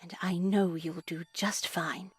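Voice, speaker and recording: Older nun in a horror game, super pious, talks slow and theatrical, music behind her. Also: fairly low pitch, steady tone, husky, no room sound, heavily acted. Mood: soothing, patronizing. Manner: slow, theatrical, formal preaching diction. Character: older devout nun, grandly pious, unsettlingly zealous. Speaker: voice actor in a visual novel. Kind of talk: dramatic video game acting.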